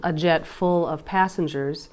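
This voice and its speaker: Woman, very low pitch, deliberate phrasing, interviewer, measured questioning. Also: steady tone, darkish tone.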